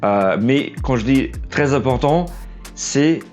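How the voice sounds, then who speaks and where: A man's voice: medium pitch, a little mellow, faint music behind. A young man with a Portuguese accent, French not his first language, talking off the cuff in a casual vlog. He stumbles and restarts often, and his voice is getting worn. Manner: halting, stumbles and restarts often, self-deprecating. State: losing his voice. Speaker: aspiring trailer editor, vlog host. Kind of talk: unscripted casual vlog monologue.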